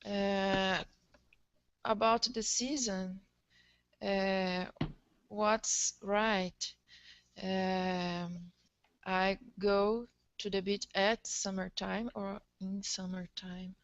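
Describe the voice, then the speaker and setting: A woman who is learning English as a second language, answering haltingly and carefully in a lesson, correcting herself as she goes. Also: medium pitch, flat delivery, dry recording. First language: not English. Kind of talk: hesitant answers in an online lesson.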